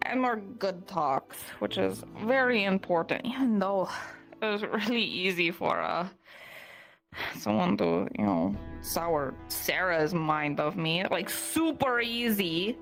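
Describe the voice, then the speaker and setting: A woman acts out a chatty young adventurer in a fantasy roleplay. She rambles casually in slangy modern speech at a relaxed, slow pace. Soft music plays faintly underneath. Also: mid-range voice. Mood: uneasy, earnest. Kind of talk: improvised in-character roleplay, casual chat.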